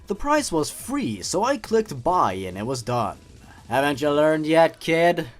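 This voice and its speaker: A man's voice: on the high side, very animated, unhurried. A man reading stories aloud and commenting on them in a sarcastic, mock-serious, theatrical way. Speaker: YouTube creepypasta reader and commentator. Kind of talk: scripted commentary and dramatic story reading.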